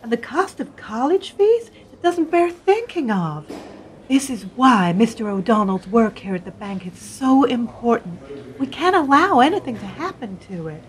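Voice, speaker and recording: A woman's voice: fairly high pitch, very animated, normal speed. A woman playing a chatty, gossipy bank worker. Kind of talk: amateur radio play acting.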